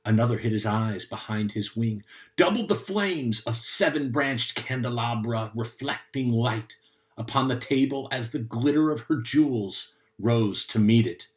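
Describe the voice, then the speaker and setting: A man giving a dramatic poetry recitation, reading in a deliberate, theatrical manner. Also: medium pitch. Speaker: poetry reader, host.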